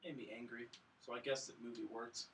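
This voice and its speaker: A man's voice: medium pitch, little pitch movement, fairly quick, fairly bright tone. A young man co-hosting a film podcast, giving casual reviews with wry, joking delivery. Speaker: podcast co-host reviewing films. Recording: faint room noise.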